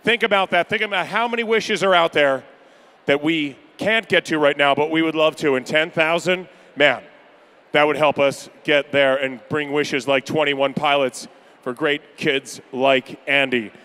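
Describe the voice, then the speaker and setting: A man calling pledges at a charity gala in a banquet room, energetic and upbeat with rapid-fire banter to the crowd. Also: fairly high pitch, clear, resonant tone. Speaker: charity auctioneer. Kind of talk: live fundraising pledge calling.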